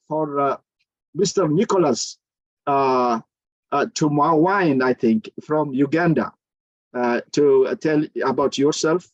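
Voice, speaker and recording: A man moderating an online forum over a video call, courteous and halting with many filler pauses. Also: a little high, no echo, lo-fi sound.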